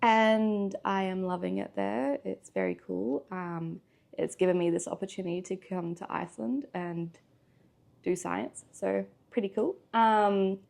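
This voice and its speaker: A woman talks in a relaxed guest chat, with plenty of ums and easy laughter. Her voice has a gravelly fry running through it. She sits at a middle pitch. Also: measured pace. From Australia. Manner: chatty, with frequent ums and laughs. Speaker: guest, PhD student. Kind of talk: casual interview chat.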